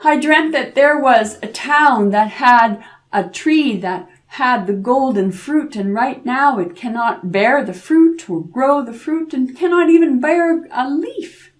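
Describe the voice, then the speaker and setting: A woman's voice: high voice, takes it slowly, voice pushed up. A woman voicing the devil's fussy, sly old grandmother, pleading and fussing in a folk tale. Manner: fussing, pleading, repeats herself. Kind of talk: oral folk tale storytelling.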